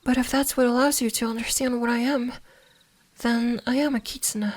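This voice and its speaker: A woman playing a gentle, curious kitsune fox spirit in an ASMR roleplay, speaking softly, soothingly and a little hesitantly. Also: a little high, steady tone, moderate pace, lightly acted. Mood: gentle, slightly shy. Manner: soft-spoken, soothing, hesitant, at times whispering.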